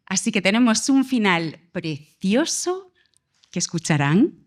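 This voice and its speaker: A woman's voice: medium pitch, sing-song, unhurried. A woman talking unscripted on a promotional panel as a director, effusive and telling stories. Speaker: director on a panel presenting an audio drama.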